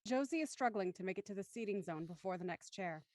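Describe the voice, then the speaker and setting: A woman's voice: medium pitch, animated, quick pace, a little mellow, dry recording. A woman reading scripted stage directions as the narrator of a drama.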